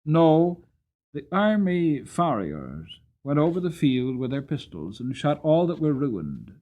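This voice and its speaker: A man voicing an old war horse in a storybook, mournful and proud, with solemn, measured, emotive delivery. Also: medium pitch, narrow, tinny audio. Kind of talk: dramatic audiobook reading.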